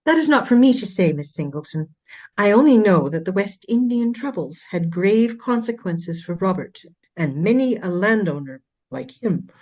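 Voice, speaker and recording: A woman playing a proud, indignant Regency gentlewoman in a radio play, speaking formally and emphatically in defence of her husband. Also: medium pitch, expressive, moderate pace, highs cut off, lightly acted. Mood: guarded, formal.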